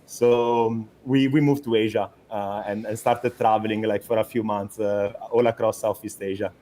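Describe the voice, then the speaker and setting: A man's voice: fairly low pitch, fairly even intonation, moderate pace, mellow. A young adult man from Italy with an Italian accent, a startup co-founder talking in an interview, hesitant with many restarts and fillers. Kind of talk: conversational interview.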